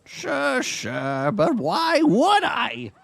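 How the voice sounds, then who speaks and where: An actor playing a smug, gleefully destructive wizard in an audio drama, drawn-out and playful, over faint music. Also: high voice, wildly swooping pitch, vocal fry, fairly bright tone, no room sound, exaggerated voice.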